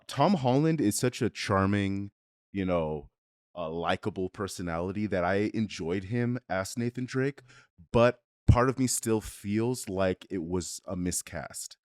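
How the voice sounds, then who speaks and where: A man co-hosting a casual film review, talking haltingly and rephrasing as he thinks. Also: on the low side, no echo, studio quality. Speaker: review show co-host.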